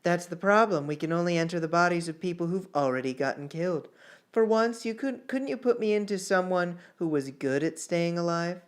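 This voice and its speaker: A man's voice: high-pitched, brisk, clear, resonant tone, natural voice. A man reading the part of a wry, dutiful young woman soldier in a fantasy story.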